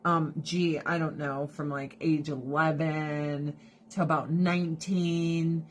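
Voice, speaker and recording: A woman live streaming to her viewers in casual chat, blunt and profane, animated and laughing often, close to her phone camera. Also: low-pitched, slow pace, a little hiss or hum. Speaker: direct sales rep live streaming. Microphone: phone or camera held close.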